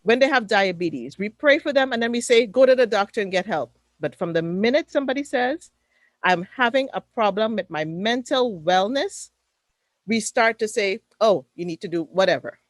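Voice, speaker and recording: A woman's voice: medium pitch, lively intonation, moderate pace, no room sound. A woman with a Trinidadian accent speaking on a webinar panel over a video call, emphatic and preacher-like in her cadence. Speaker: panelist, mental fitness coach.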